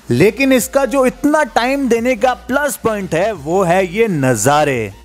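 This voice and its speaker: A man in his thirties tells the story of his trip in a chatty, excited voice-over. His voice sits high and swings up and down a lot. Soft music plays quietly underneath. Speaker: travel vlogger. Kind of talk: voice-over travel narration.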